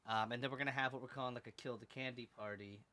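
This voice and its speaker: Young man, podcast guest, relaxed and joking, bright tone, sentences fade off quietly. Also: mid-range voice, very fast. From abroad, moved to Finland. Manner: joking, laid-back, trails off quietly. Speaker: guest, event organizer. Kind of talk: casual podcast chat.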